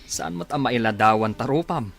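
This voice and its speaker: A man's voice: fairly low pitch, normal speed, over music, natural voice. A man acting a concerned, incredulous best friend in a drama, questioning and urging with blunt advice.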